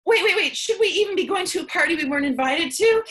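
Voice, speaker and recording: An actress playing a lonely, anxious, chatty survivor of the apocalypse in a staged reading, with a dramatic, rambling delivery. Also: female voice, very high-pitched, expressive, fast talker, fairly bright tone. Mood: anxious, indignant. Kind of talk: staged reading of a theatrical fable.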